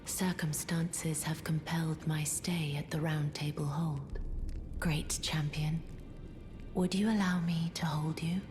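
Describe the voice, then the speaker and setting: An actress playing a gentle, sorrowful deathbed companion in a video game, in a soft, breathy near-whisper with courtly manners. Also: female voice, deep voice, fairly even intonation, over music. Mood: tender, hushed. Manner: slow, hushed, pleading courtesy.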